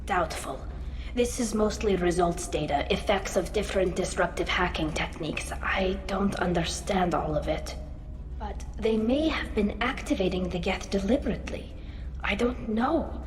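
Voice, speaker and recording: A young alien woman played by an actress in a cinematic game, her voice ringing with long reverb at a middle pitch. She is earnest and defiant, a grieving daughter who halts when feeling takes over. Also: close to an everyday voice. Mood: troubled. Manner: earnest, halting under emotion. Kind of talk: cinematic video game voice acting. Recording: roomy echo, long reverb.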